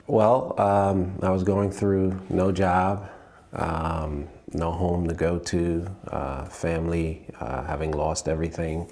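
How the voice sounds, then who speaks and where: A man in his forties from Liberia, giving measured interview answers as a company co-founder and repeating phrases for emphasis. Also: low-pitched, level tone, a little mellow. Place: indoors, shop with product shelves.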